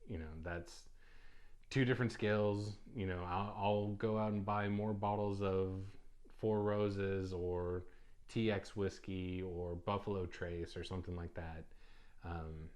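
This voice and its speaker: A young man with a low voice talks loosely on his own podcast. He rambles and restarts often, filling pauses with ums and uhs. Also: fairly even intonation, a little mellow. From United States. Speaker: podcast host. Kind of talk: casual solo podcast talk.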